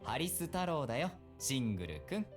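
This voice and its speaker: A man voicing an easygoing, gently teasing policeman and mentor in a visual novel. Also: medium pitch, big pitch swings, fast talker, soft background music, lightly acted. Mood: gentle advice. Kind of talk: Japanese visual novel voice acting.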